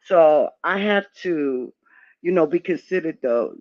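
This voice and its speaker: A middle-aged or older woman with a strong, low-set voice talks emphatically and forthrightly, repeating herself to drive points home. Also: animated, measured pace, darkish tone, lo-fi sound. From New York, United States. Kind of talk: impassioned conversational opinion talk.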